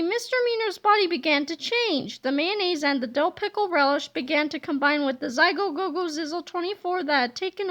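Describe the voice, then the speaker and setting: Woman reading a kids' book out loud, high bright voice, really expressive. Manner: lively expressive narration. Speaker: reader. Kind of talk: children's book read-aloud.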